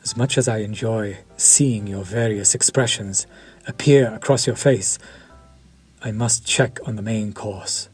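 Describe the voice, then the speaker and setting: Vampire chef, played by a man: unhurried, husky, formal and seductive, over faint music. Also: lowish voice. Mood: suave. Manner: polished, formal and flirtatious, lingering over words. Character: suave vampire chef, courteous, quietly predatory. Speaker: voice actor in audio roleplay. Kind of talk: scripted audio roleplay.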